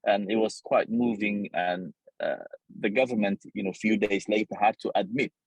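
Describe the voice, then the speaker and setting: A man's voice: lowish voice, normal speed, no echo, poor audio. A man with a Somali accent, speaking as a journalist in an interview, reflecting earnestly and sometimes repeating his phrases.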